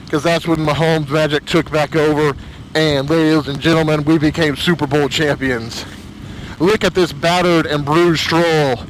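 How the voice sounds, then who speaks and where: A hoarse man chats to his camera in a slightly high voice, rambling and emotional, often stopping and restarting his sentences. A faint hiss of background noise sits under him. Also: rough recording. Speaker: motorcycle vlogger. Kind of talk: casual chat to camera.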